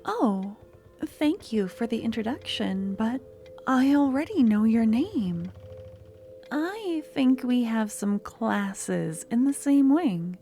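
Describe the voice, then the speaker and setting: Actress as young female yandere student, mellow soft voice, unhurried, eager and clingy, whispery ASMR roleplay. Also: a little high, lively intonation, soft background music. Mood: excited, smitten. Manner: soft-spoken, often dropping to breathy whispers. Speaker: lab guide teaching embalming.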